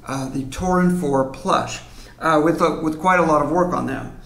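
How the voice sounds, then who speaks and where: Higher-voiced middle-aged man in echoey room. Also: normal speed, a bit bright. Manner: informal, hands-on explaining. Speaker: video presenter. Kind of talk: casual explainer to camera, demonstrating items. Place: medium-sized home office, indoors at a desk.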